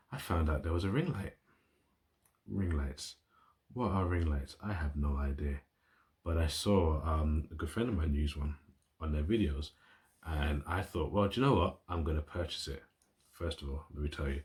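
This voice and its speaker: A man's voice: very deep voice, a little mellow. A man chatting casually to his phone camera at home, rambling and enthusiastic as he shows off his gear. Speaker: YouTuber. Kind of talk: casual vlog talk to camera. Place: indoors at home, at a table. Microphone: phone camera, built-in mic.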